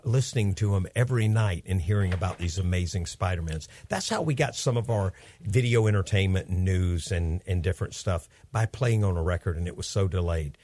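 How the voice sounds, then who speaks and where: A man's voice: fairly low pitch, a little mellow, dry recording. Chatty man in his fifties hosting radio. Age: 50s. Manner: upbeat, chatty, rambling storytelling. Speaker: radio show host. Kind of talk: casual radio chat with his son.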